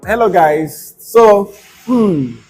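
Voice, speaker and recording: A man's voice: high-pitched, expressive, extremely slow, darkish tone, faint music behind, low-quality recording. A young man from Nigeria hosting a casual chat, asking curious, teasing questions. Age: young adult. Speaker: host.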